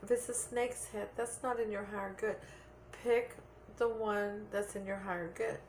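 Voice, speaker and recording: A woman's voice: mid-range voice, steady tone, normal speed, darkish tone, slight background noise. A woman giving a tarot reading to viewers in an earnest, repetitive way, checking in with "okay?" and losing her voice a little. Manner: earnest, repeats points with "okay?". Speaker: tarot reader.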